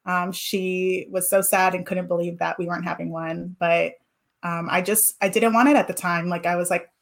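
A woman in her thirties speaks as an interview guest and reflects on her past. Her voice sits on the low side for a woman. She talks thoughtfully and leans often on "like" and "you know.". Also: steady tone, moderate pace, creaky. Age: 30s. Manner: thoughtful, filler-heavy with many "like"s.

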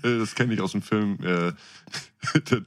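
A man's voice: fairly low pitch, a little slow. A man co-hosting a podcast in casual, colloquial chat. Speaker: podcast co-host.

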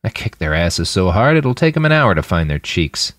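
A man's voice: deep voice, very animated, moderate pace, fairly bright tone, dry recording, studio quality. A man voicing a casual, battle-hardened fighter in a dystopian audiobook, with blunt, laconic, slangy speech. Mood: breezy.

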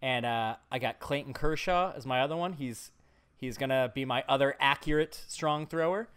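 A man's voice: medium pitch, normal speed, a bit bright, clear, resonant tone. A young man co-hosting a casual podcast, trash-talking his friends with cocky confidence. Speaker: podcast co-host.

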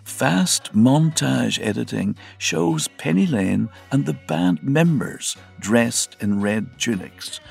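Male documentary narrator, deeply gravelly and rough-edged, animated delivery of written script over soft music.

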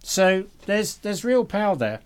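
Male podcast co-host, slightly higher register, earnest and emphatic in thoughtful talk on faith. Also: very animated, unhurried, smooth. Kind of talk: reflective conversation about faith and confession.

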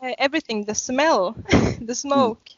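Woman, slightly high. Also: measured pace, no echo. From Åland Islands, Finland. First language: Swedish. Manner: hesitant, many fillers, laughs easily. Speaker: guest blacksmith. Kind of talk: casual interview chat. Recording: dry, slightly muffled remote audio.

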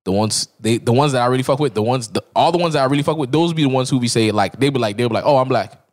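A man's voice: medium pitch, rapid-fire. A young man co-hosting a podcast who cuts in with jokes, laughs often and swears casually. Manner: joking, interjecting, laughs often. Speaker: podcast co-host. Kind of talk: casual chat.

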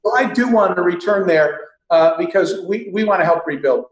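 A man's voice: sits high, moderate pace, roomy sound, dull audio. A man guest speaking earnestly and motivationally, mixing in Spanish phrases. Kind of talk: casual chat turning to earnest appeal.

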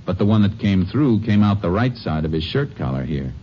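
An actor playing a calm, shrewd Texas Ranger investigator in a 1950s radio drama, talking in a steady, deliberate way. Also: male voice, fairly low pitch. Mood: calm, probing. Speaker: lead actor. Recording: dull old radio recording with faint hiss.

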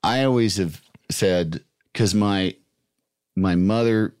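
A man's voice: low voice, a little mellow, smooth. A man hosting a podcast in casual, comedic conversation, often interrupting himself and swearing freely. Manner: halting, self-interrupting, profane. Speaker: podcast host.

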